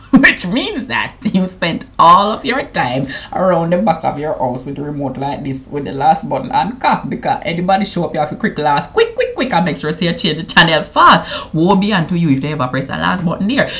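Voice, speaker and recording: Jamaican woman, 30s, vlogger; playful, chatty to camera, patois mixed in, slightly low voice. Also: big pitch swings, a little fast, a bit bright. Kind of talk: casual anniversary vlog to camera.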